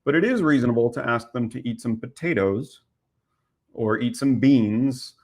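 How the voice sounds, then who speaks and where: A man's voice: mid-range voice, normal speed, a little mellow. A man arguing the vegan side in an online debate, pressing his case with pointed rhetorical questions.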